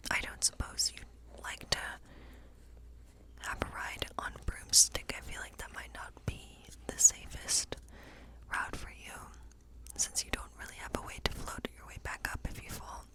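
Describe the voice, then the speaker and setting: A woman whispering gently and breathily in an ASMR role play as a kindly witch who reads tarot. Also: low-quality recording. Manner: gentle, slow, soothing reassurance. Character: kindly witch reading tarot in her woodland cottage. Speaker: ASMR performer playing a witch.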